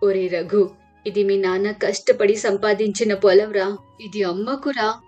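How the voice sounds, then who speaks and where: A woman's voice: medium pitch, fairly even intonation, unhurried, a little mellow, close to an everyday voice. A worried village mother , played by a woman, pleads reproachfully with her grown son in a storybook tale. Her voice sounds dull and lo-fi, with music behind it. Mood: pleading.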